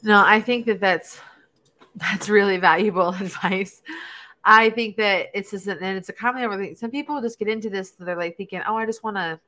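A woman's voice: medium pitch, moderate pace, sharp tone. A woman hosting an interview, affirming her guest's points and asking long, reflective questions. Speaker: interviewer host. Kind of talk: podcast interview.

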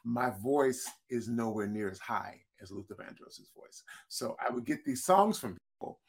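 A deep-voiced man with a gravelly, husky edge tells stories from his career as a singer-songwriter. He talks loosely and conversationally, doubling back on his words and chuckling between stories. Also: moderate pace. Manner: repeats words and restarts often, laughs between stories. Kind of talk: casual interview storytelling.

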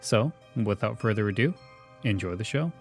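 Male podcast host over soft music. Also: lowish voice, expressive, normal speed. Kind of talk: scripted introduction.

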